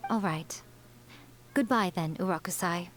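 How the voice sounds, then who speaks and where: A woman's voice: lowish voice, unhurried, a bit bright. An actress voicing a sly, smug, centuries-old female fox yokai in a video game, teasing, coy and self-assured. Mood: composed, coy. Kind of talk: video game character acting.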